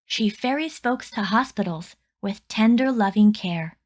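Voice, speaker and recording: A woman reading a rhyming children's picture book aloud with deliberate, expressive storytelling phrasing. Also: mid-range voice, slight background noise.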